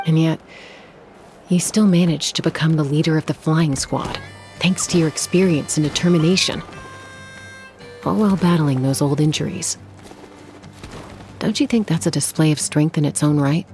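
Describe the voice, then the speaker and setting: A woman's voice: deep voice, moderate pace, pauses often, a little mellow. A woman playing a cool, capable warrior in a video game, speaking in a composed, direct and supportive way. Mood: reassuring. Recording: music playing behind the voice.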